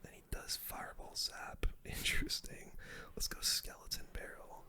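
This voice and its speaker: A person whispering casual ASMR gameplay commentary, breathy and unvoiced, full of gamer slang while talking through each move. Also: normal speed. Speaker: game streamer.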